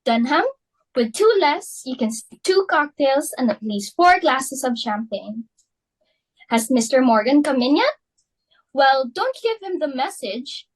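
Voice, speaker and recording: A woman's voice: high-pitched, sing-song, unhurried, full character voice, rough recording. A young woman in an amateur dramatic reading, playing a fussy, status-hungry society hostess and mother in a flustered, theatrical way. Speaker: student actor.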